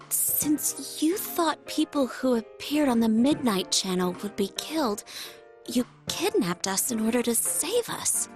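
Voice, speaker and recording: Young game heroine, high voice, swooping intonation, indignant, blunt, confrontational. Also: female voice, full character voice. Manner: incredulous, confrontational. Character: indignant, blunt young female kidnapping victim. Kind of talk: dramatic video game voice acting. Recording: music behind the voice.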